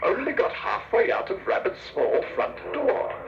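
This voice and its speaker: A man's voice: moderate pace, far from the mic, very noisy. A man narrating a scripted children's story as the storyteller. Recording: dull cassette, roomy, music behind.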